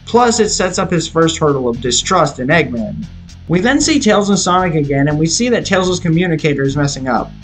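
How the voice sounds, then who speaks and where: A man's voice: fairly high pitch, animated, fairly quick, poor audio. A man reading a scripted film review in an opinionated, explanatory way. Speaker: video reviewer. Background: background music.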